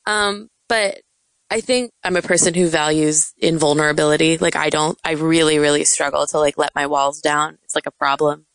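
A young woman poet with a soft voice, talking in a hesitant, reflective way in an interview. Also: sits low, steady tone, moderate pace, smooth, no room sound. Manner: soft-spoken, hesitant, many fillers. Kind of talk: casual interview chat.

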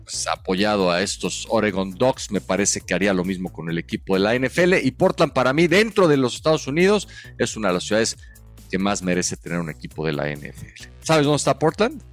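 A man co-hosting a sports podcast, who explains his points in a measured way and teases his partner. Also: fairly low pitch, expressive, soft background music. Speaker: podcast co-host. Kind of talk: casual sports chat.

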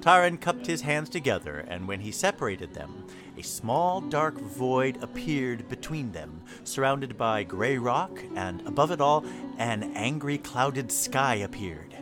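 A man's voice: medium pitch, very animated, unhurried. A man narrating a scripted fantasy audio drama in the role of storyteller. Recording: clear music behind the voice. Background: music.

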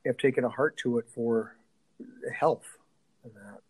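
A middle-aged man, a strength coach being interviewed, talking casually and haltingly, often thinking aloud and trailing off. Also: mid-range voice, darkish tone, slightly rough, rough recording.

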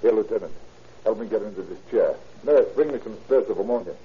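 An actor playing a calm, gentle but authoritative hospital doctor in an old radio drama, measured and soothing. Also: male voice, fairly low pitch, close to an everyday voice. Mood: grave. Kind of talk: 1930s radio acting. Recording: noisy, muffled old radio recording.

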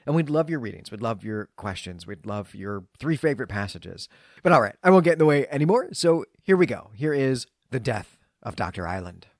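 A man speaks as the co-host of a literary podcast. His voice sits at a middle pitch, and he explains things in a chatty, conversational way. The sound is dry, with no room around it. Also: big pitch swings, brisk, dry recording.

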